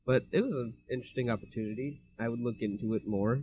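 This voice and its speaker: A man's voice: mid-range voice, fast talker, breathy edge, dry recording. A teenage man co-hosting a casual podcast in a laid-back, easygoing way. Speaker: podcast co-host. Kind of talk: casual chat. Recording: dull lo-fi mic.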